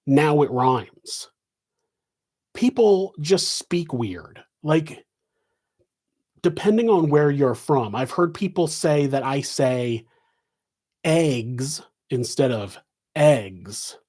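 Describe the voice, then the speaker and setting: A man's voice: fairly high pitch, darkish tone, slightly rough. A man with a West Coast American accent, hosting a live Q&A and answering in a casual, rambling way full of fillers and restarts. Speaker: podcast host answering questions.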